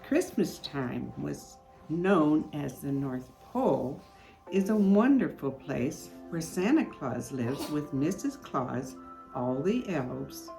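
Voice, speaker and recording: Older woman reading a storybook, very animated. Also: deep voice, creaky, low-quality recording. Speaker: storyteller reading aloud for children. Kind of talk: children's storybook read-aloud. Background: music.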